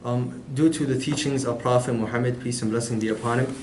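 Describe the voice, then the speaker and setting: Student guy doing a class presentation, lots of ums, pretty monotone, echoey room. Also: mid-range voice, fast, slight background noise. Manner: hesitant, many "um" fillers.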